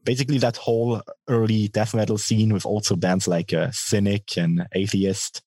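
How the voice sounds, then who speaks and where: A man with a bass voice giving thoughtful, measured answers in a casual interview. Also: level tone, mellow, no echo. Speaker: musician being interviewed, guitarist and singer.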